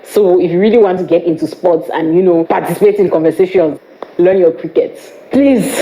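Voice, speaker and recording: A woman's voice: mid-range voice, lo-fi sound. A young woman with a Cameroonian English accent, chatting to camera in a playful way, with teasing asides. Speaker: vlogger. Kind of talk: casual chat to camera.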